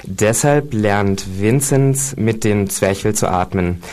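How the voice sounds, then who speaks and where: A man's voice: fairly low pitch, slow pace, darkish tone. A man co-hosting a radio show, talking casually with many fillers and reading quotes aloud. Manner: hesitant, with fillers.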